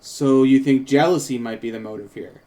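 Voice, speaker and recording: Man reading as a mellow, inquisitive visiting harpy woman. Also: medium pitch, steady tone, normal speed, voice pushed down, close to an everyday voice. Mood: curious, probing. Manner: polite, gently probing. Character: polite, inquisitive visiting female harpy investigator. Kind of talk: script table read, in character.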